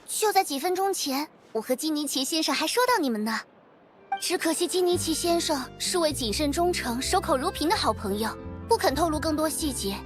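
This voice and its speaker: Young game reporter girl, high bubbly voice, chatty and polite, music behind her. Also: lively intonation, fairly quick. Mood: eager. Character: young, eager, polite female newspaper reporter. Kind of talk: video game voice acting.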